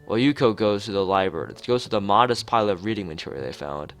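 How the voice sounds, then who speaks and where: A man's voice: lowish voice, animated, fast talker, a bit bright, soft background music. A man streaming a visual novel, reading it aloud with casual, rambling asides and the odd stumble over lines. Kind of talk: let's play read-aloud with casual asides.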